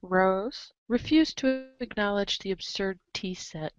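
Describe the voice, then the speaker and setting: A woman's voice: medium pitch, slow pace, dull audio. A woman reading a webcomic script aloud as a reader's theater performer, with emphatic, playful exasperation. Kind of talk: animated dramatic reading of a webcomic, in second person.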